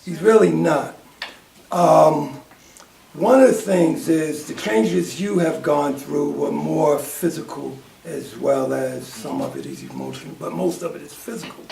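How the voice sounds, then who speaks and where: A man taking part in a faith-based group discussion, speaking reflectively and growing animated as he recalls his past. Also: on the high side, vocal fry, slight background noise. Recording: echoey room, distant mic.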